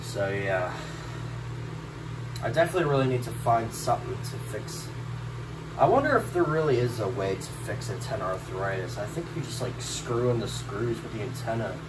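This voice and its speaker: A man's voice: on the low side, moderate pace. A man narrating a casual, unscripted show-and-tell in a rambling way, often repeating himself. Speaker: hobbyist vlogger showing a radio collection. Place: small indoor room. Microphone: handheld camera held by the speaker. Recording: steady noticeable hum of room noise.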